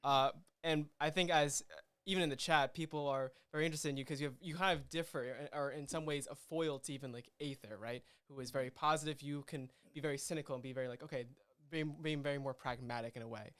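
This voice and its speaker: A man hosting a casual livestream interview, speaking in a halting, rambling way as he works toward his questions. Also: mid-range voice, fairly even intonation, a bit bright, smooth. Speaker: host and game master.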